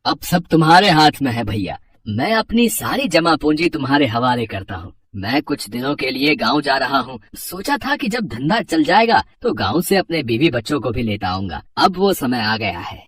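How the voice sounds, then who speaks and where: A woman's voice: rumbling low, very animated, normal speed, few pauses, voice pushed down. A woman voicing a simple, trusting male street vendor and family man in a moral story, earnest and plain-spoken.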